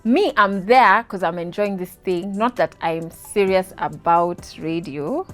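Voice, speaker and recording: A woman in her thirties with a Kenyan accent, telling her story as an interview guest, animated and laughing, slipping into Swahili words. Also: on the low side, unhurried, faint music behind.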